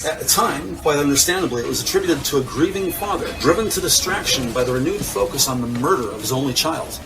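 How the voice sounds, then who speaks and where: A male narrator delivers scripted dramatic narration for an audio drama. His voice sits on the high side for a man, with music clearly playing underneath. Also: animated, quick pace, distant mic, low-quality recording. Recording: music bed behind.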